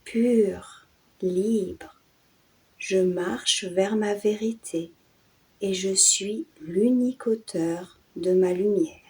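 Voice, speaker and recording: Very slow, hushed woman guiding meditation. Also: mid-range voice, rough recording. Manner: measured, with long pauses between short phrases. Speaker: meditation guide and channel host. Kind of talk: guided yoga nidra and hypnosis meditation.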